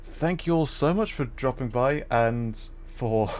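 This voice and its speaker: A man hosting a gaming livestream in an average-pitched voice. He talks casually and informally, sometimes repeating himself as he chats to viewers. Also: expressive, measured pace, a little mellow.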